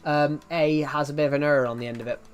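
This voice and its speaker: A man's voice: fairly high pitch, fairly even intonation, fast talker, smooth. A young man from the UK with a thick regional British accent, chatting casually on a game stream and restarting his sentences often. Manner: rambling, restarts often, reads chat aloud. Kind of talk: casual live-stream chat.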